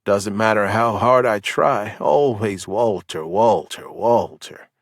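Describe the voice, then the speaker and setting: A man voicing a heavily drugged male war veteran, speaking in a slow, gruff drawl with a Southern US accent. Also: lowish voice, no echo, clean studio sound. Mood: slow, haunted.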